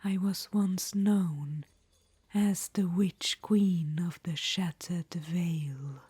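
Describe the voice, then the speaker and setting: An imperious undead witch-queen, whispered by a woman in an ASMR audio roleplay. The whisper is slow, dark and airy, pressed close to a dry mic. She fusses over her listener as darling between boasts. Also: lowish voice, slightly rough, no echo, full character voice. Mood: haughty. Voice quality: whispered throughout. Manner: fond, chatty, calls listener darling.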